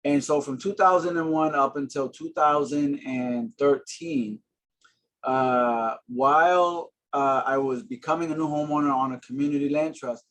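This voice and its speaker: A man's voice: fairly high pitch, a little slow, a bit bright. A man in his forties from Boston gives an earnest, friendly webinar presentation over a video call, with plenty of filler words. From Boston, Massachusetts. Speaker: housing panelist.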